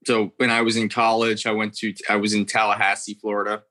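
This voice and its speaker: Bright-voiced American impressionist, low-pitched man. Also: monotone, brisk. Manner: earnest, rambling, repeats words. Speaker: impressionist guest. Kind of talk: interview storytelling.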